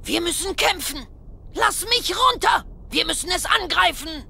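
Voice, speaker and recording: A woman playing a small feisty monster, shouting battle cries in a German children's audio drama. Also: very high-pitched, animated, measured pace, creaky, full character voice. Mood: defiant. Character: small feisty digital monster eager to fight. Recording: loud music under the voice.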